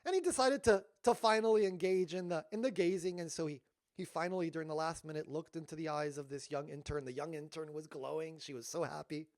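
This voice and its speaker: A man giving a storytelling talk as a workshop leader, animated but dropping to hushed tones at emotional moments. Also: on the high side, quick pace, no room sound.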